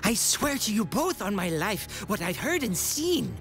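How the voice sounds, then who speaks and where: A woman's voice: medium pitch, sing-song, measured pace, over music. A woman playing a solemn, intense warrior messenger in a fantasy audio drama. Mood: grave. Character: grave, fervent female warrior messenger bearing bad news.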